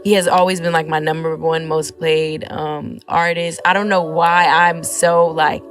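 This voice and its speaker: A woman singer with a naturally deep voice and a light rasp, chatting casually and relaxed as an interview guest, with plenty of fillers. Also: measured pace, soft background music.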